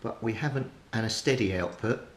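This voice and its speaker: A man giving an unscripted, methodical repair walkthrough as a hobbyist, thinking aloud as he works. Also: a little fast. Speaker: hobbyist radio repairer presenting his progress. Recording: roomy echo, clear rumbling background noise.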